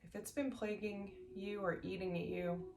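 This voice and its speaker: A woman leading a guided sleep meditation as an instructor, speaking gently in slow, measured phrases with long pauses. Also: mid-range voice, fairly even intonation, noisy background. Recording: roomy echo, faint music behind.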